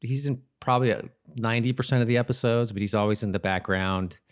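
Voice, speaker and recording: A man's voice: medium pitch, fast. A man hosting a podcast, chatting casually and giving commentary on an episode. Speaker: podcast host.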